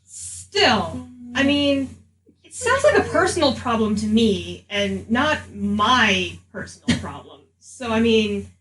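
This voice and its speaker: A woman plays a flippant enchanted object that bargains for its own benefit. The voice is fairly high, lively in pitch and sardonic, recorded in an echoey, noisy room. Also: slow, far from the mic, heavily acted. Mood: dismissive. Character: flippant, self-interested sentient magic item sharing a body.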